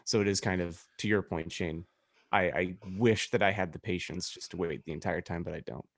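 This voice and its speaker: A man's voice: low-pitched, fairly even intonation, a little fast, dry recording, clean studio sound. A man co-hosting a casual comedy podcast, chatty and joking as he keeps the conversation moving along. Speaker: podcast co-host.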